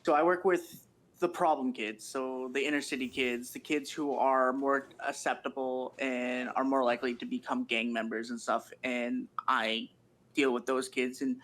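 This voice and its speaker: A man talking casually on a podcast, explaining things in a measured, informal way with frequent "you knows.". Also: medium pitch, a bit bright. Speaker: podcast participant.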